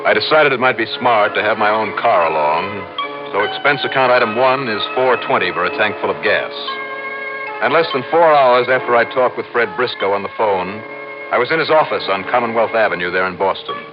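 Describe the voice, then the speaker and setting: A man's voice: lowish voice, animated, fairly quick, close to an everyday voice. Guy playing wry hard-boiled radio insurance detective, clipped delivery, muffled old recording, music around. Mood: businesslike. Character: wry, brisk male freelance insurance investigator. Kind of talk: 1950s-60s radio drama acting and noir narration.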